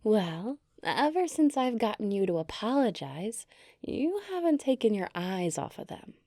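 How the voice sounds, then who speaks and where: Woman as a nurturing giantess, gentle, teasing, soft warm timbre, very dry close recording, ASMR roleplay. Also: medium pitch, sing-song, a little slow, dry recording.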